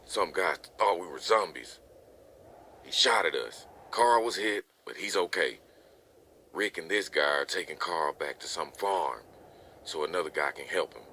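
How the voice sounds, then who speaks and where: A man playing a steady, concerned male survivor in a motion comic, with a deep, gravelly voice. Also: a little slow, slight background noise, heavily acted. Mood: reassuring, tense. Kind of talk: dramatized motion comic dialogue.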